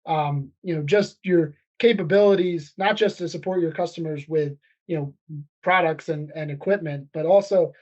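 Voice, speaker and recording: Casual man with a slightly high voice. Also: moderate pace, a little mellow, muffled recording. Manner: loose, uses many "you know"s. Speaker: podcast co-host. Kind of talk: casual chat.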